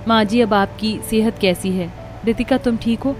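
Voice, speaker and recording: A woman's voice: fairly high pitch, moderate pace, faint music behind, natural voice. A woman voicing an earnest, dutiful young daughter-in-law in a cartoon story. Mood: eager, concerned.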